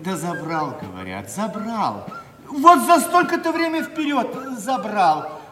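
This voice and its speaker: Anxious official in echoing hall. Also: male voice, high-pitched, very animated, slow, heavily acted. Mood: deferential. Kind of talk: theatrical audio drama dialogue. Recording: distant, echoing hall with loud music.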